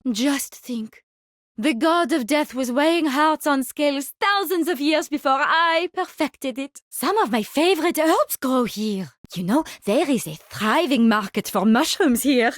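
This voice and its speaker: An actress playing a giddy, macabre mad-scientist fungus doctor in a video game, theatrical and genteel, with a French accent. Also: female voice, high voice, lively intonation, measured pace, no room sound, studio quality. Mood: relishing, sly. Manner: theatrical, gleefully morbid, genteel wording. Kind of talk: video game hero voice lines.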